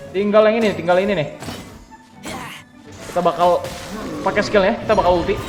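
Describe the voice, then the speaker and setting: A young man streaming a video game, giving chatty, excitable commentary. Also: sits high, fast talker, reverberant, big-room sound, over music. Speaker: gaming streamer. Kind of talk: casual let's-play commentary.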